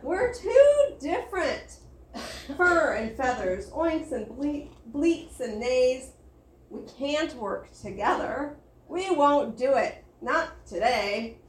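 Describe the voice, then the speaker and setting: A woman's voice: high-pitched, very animated, slow pace, distant mic, exaggerated voice. A woman voicing a stubborn, quarrelsome barnyard goat who indignantly refuses in a storybook reading. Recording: roomy echo.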